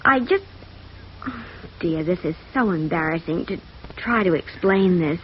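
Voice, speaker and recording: A woman's voice: fairly low pitch, expressive, a little slow. An actress playing a polite, wary young showgirl in an old radio drama, genteel and hesitant. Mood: embarrassed. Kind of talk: 1950s radio drama acting. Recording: muffled old radio recording, faint noise.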